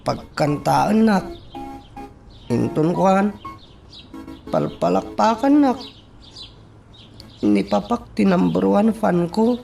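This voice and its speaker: An earnest, sentimental young son in an Ilocano radio drama. His voice sits fairly high for a male and moves slowly, with a gravelly edge. Soft music plays underneath. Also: animated, full character voice. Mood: wistful.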